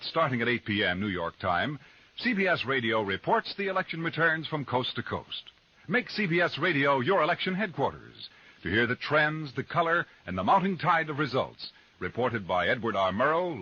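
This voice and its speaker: A man's voice: medium pitch, sharp tone, husky. An American man announcing in scripted 1950s radio style, polished and emphatic. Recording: muffled 1950s radio recording.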